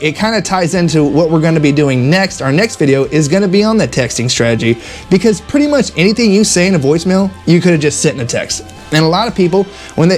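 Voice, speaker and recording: A man's voice: a little high, a little mellow. A man giving casual, instructional sales tips in a conversational, emphatic way, repeating phrases to drive them home. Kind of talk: casual instructional vlog monologue.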